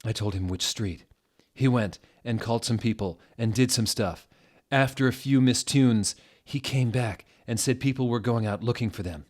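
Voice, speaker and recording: A man hosting a mock-dramatic reading of fan fiction, deadpan, sounding out typos and punctuation as written. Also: medium pitch, moderate pace. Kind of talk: mock-dramatic scripted narration.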